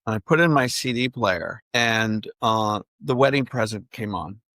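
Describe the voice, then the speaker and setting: A man's voice: lowish voice, animated, moderate pace, sharp tone, no room sound. A man hosting a podcast, asking a guest friendly questions. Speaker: podcast host. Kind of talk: interview questions and casual chat.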